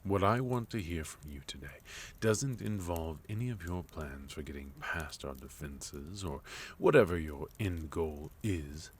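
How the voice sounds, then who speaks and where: Casting: male actor as a suave villain leader for ASMR roleplay; extremely low pitch, courteous, composed, quietly threatening, faint background noise. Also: lively intonation. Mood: courteous, probing. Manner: measured, courteous, softly menacing, deliberate pauses. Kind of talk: intimate ASMR roleplay monologue.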